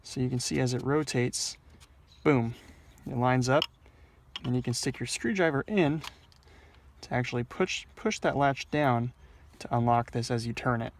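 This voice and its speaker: A man's voice: medium pitch, normal speed, long pauses, a little hiss or hum. A man presenting a casual, hands-on installation tutorial, explaining each step in a plain-spoken way. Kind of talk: casual hands-on how-to instruction. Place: in or at a car, working on the dashboard.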